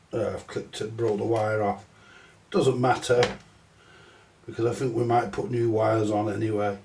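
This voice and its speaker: A man's voice: lowish voice, a little mellow, roomy sound, slight background noise. A middle-aged man with a stuffy cold, talking through a repair in a small workshop, rambling and swearing casually. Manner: slow, rambling, sweary, self-mocking. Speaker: repair channel host. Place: small cluttered electronics workshop, indoors. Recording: distant from the mic.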